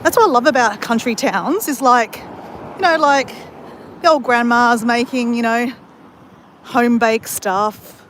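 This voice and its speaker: A woman's voice: a little high, a little slow, a bit bright, a little hiss or hum. An Australian woman chatting to her viewers while she walks around outdoors in a country town and along a creek. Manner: chatty, talks straight to viewers as "guys". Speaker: vlogger. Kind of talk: casual walking vlog.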